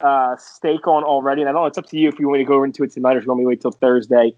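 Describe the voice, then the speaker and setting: A man co-hosting a casual sports betting podcast, talking picks in a chatty, animated way. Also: medium pitch, very fast, no echo. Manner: chatty, animated, laughing banter. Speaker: podcast co-host, sports bettor. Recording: dry, dull lo-fi podcast audio.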